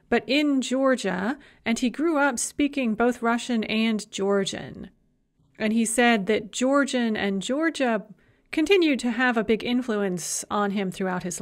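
A middle-aged woman giving a recorded university lecture in a conversational way, with frequent filler sounds. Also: fairly high pitch.

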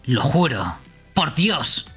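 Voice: mid-range voice, big pitch swings, extremely slow, a bit bright, slightly rough, faint music behind, exaggerated voice. A performer voicing a brash, foul-mouthed young male killer in a horror game, blunt and aggressive. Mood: threatening.

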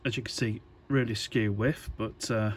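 A man giving an informal hands-on tutorial, talking in a halting, hesitant way as he thinks aloud. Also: fairly low pitch, steady tone, slightly rough. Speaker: electronics hobbyist presenter.

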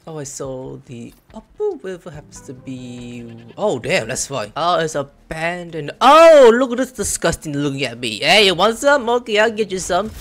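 Excitable gamer man yelping over music. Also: normal speed, hardly any pauses. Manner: excitable, swings into loud high yelps. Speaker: gameplay streamer. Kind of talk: live gameplay commentary. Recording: game music playing underneath.

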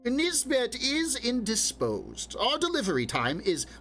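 A man voicing a smooth-talking roguish quarry worker who spins evasive explanations, in an audiobook reading. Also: extremely high pitch, wildly swooping pitch, a little slow, fairly bright tone, faint music behind, voice pushed up, heavily acted. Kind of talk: audiobook character voice.

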